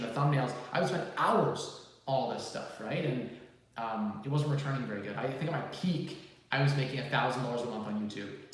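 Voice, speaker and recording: A young man in his twenties talks quickly and casually to his viewers, answering questions with plenty of fillers. His voice sits at an ordinary middle pitch. It rings with heavy echo off the bare walls of an empty room, picked up from a phone several feet away. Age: 20s. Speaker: live stream host answering viewer questions. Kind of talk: casual live-stream Q&A. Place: large empty room, new home office. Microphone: phone mic about six feet away. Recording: echoey empty room, distant phone mic.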